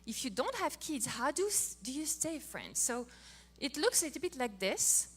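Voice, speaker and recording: A middle-aged woman from France with a French accent, giving a playful, animated talk on marriage as a co-host. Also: high voice, moderate pace. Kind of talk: casual talk to an audience.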